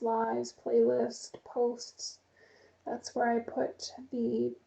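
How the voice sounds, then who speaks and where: A woman chatting casually to her live stream viewers, rambling in a relaxed way and reading the chat aloud. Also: fairly high pitch, gravelly.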